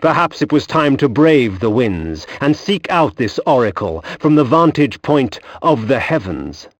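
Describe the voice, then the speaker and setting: An actor playing a brooding, aristocratic vampire who narrates with solemn, archaic, theatrical diction. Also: male voice, mid-range voice, sing-song, measured pace, no room sound. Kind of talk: scripted gothic game narration.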